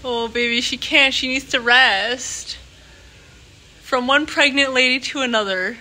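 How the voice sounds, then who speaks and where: Casting: young female vlogger, high voice, unhurried pace, playful and excitable, casual to-camera chat. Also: slight background noise.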